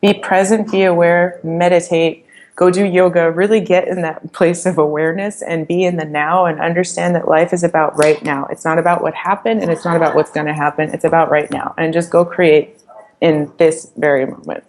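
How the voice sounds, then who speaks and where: A woman's voice: fairly low pitch, fairly even intonation, fairly quick, darkish tone, slight background noise. A woman founder raised in the US, giving upbeat, emphatic advice in a casual podcast interview. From Iran, raised in the US. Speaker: startup founder, interview guest.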